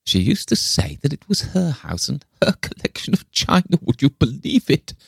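A man's voice: fairly high pitch, sing-song, normal speed, gravelly, slightly rough, no room sound, clean studio sound, voice pushed up, full character voice. A man voicing an eccentric, frail old lady in a storybook reading, wistful, peevish and possessive, with a thin, piping, plaintive delivery. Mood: wistful, indignant. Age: older. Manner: plaintive, sighing, wailing.

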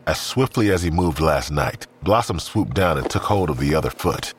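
A man's voice: rumbling low, animated, moderate pace, noisy background. A man narrating a scripted audio drama as its storyteller.

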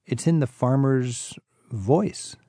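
Gravelly, mellow-voiced male host. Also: medium pitch, takes it slowly, no echo, clean studio sound. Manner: curious, enthusiastic questioning. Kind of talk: travel interview.

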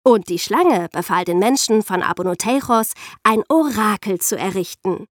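A woman with a medium-pitched voice tells history as a podcast presenter would. She speaks in a conversational, explanatory storytelling style. The sound is dry, with no room echo. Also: animated, moderate pace.

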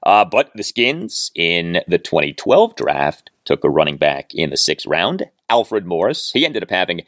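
Low-voiced man with very animated pitch. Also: unhurried, no echo, clean studio sound. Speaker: sports podcast host. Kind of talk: solo sports commentary and host-read ads.

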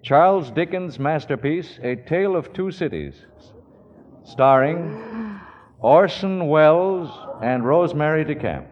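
A man's voice: a little high, animated, slow pace. A man hosting a 1940s radio theater show with scripted delivery. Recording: dull 1940s radio recording.

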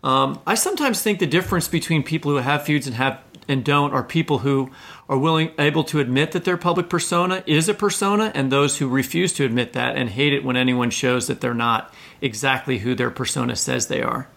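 A man co-hosting a conversational literary interview, discursive and halting, often checking in with the listener. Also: mid-range voice, gravelly, a bit bright. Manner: discursive, halting phrasing, checks in with "right?" and "you know". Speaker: podcast co-host.

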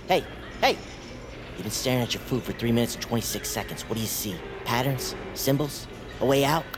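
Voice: mid-range voice, fairly quick, music in the background, close to an everyday voice. An actor playing a manic, paranoid, chatty psychiatric patient in an audio drama, rambling theatrically and slipping into whispers like a playful tour guide. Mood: sardonic, probing.

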